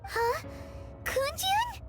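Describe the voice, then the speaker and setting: A woman voicing a tiny, squeaky, excitable childlike companion in a video game, exclamatory and eager. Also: very slow, full character voice. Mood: startled. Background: loud music and crashing effects.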